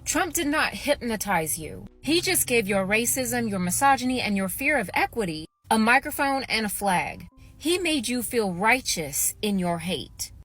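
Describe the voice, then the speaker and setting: Woman, social media monologue, lecturing, deliberate, emphatic. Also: on the high side, very animated, a bit bright, faint music behind.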